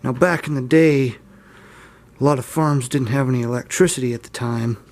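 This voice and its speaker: A man's voice: medium pitch, darkish tone. Casual young man showing off gear. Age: early 20s. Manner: unscripted, unsure, self-deprecating. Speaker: hobbyist video host. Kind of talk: casual informal show-and-tell.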